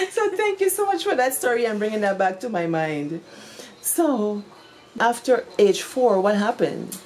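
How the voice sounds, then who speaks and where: A woman's voice: mid-range voice, big pitch swings, slow, a little mellow, a little hiss or hum. A middle-aged woman with a Guyanese accent, hosting a casual interview and chatting freely with frequent affirming murmurs. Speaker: host.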